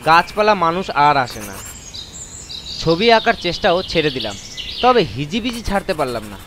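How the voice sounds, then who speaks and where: A man with a high voice narrates a first-person horror tale as a dramatised reading. Clear outdoor ambience with birds runs behind his words. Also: very animated, normal speed, background noise. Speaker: story narrator. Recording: constant nature ambience effects behind voice.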